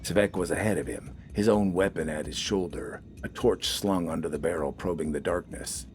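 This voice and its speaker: A man's voice: deep voice, steady tone, moderate pace, soft background music. A man reading scripted, dramatic fiction as an audiobook narrator.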